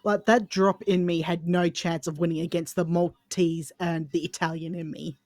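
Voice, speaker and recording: A woman with an Australian accent chats casually as a podcast co-host. Her voice sits a little low, with a dry, echo-free sound. She tells stories jokingly, exaggerating and voicing other people's lines. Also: little pitch movement, moderate pace, darkish tone. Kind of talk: casual chat, anecdotes.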